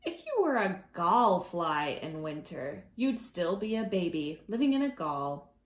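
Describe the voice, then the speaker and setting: A woman reading aloud to preschoolers as their teacher, expressive and gentle, drawing out words and adding conversational asides. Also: fairly low pitch, echoey room. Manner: gentle, deliberate, drawing out words for young listeners.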